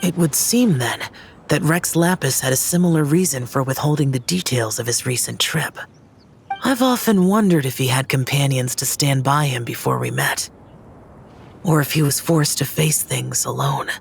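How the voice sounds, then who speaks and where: A man's voice: medium pitch, normal speed, gravelly, slight background noise, lightly acted. A man voicing a serious, reserved, youthful immortal warrior in a game dub, solemn and guarded in manner. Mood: pensive, resolute. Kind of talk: video game dub acting.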